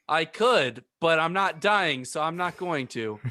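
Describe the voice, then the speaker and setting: A man's voice: on the high side, expressive, a little slow, sharp tone. A man co-hosting a podcast with casual, joking banter. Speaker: podcast co-host.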